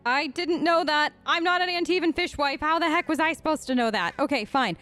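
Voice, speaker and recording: A woman's voice: high-pitched, a little fast, a bit bright, soft background music. A woman hosting a role-play let's play, improvising her character's side of the dialogue in a chatty, conversational way. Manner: chatty, conversational, reacts with questions.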